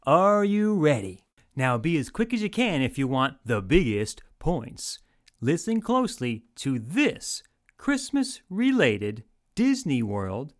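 A man hosting an old-time radio game show, announcing like a showman and addressing listeners directly. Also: on the high side.

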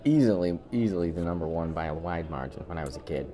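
A man co-hosting a podcast, giving opinions in casual chat and repeating phrases haltingly as he argues. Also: deep voice, expressive, music in the background. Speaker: podcast co-host.

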